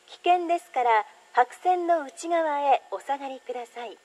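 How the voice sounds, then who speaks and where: A woman's voice: high voice, lively intonation, normal speed, a little mellow, low-quality recording. A woman making formal, carefully enunciated recorded train platform announcements as a station announcer.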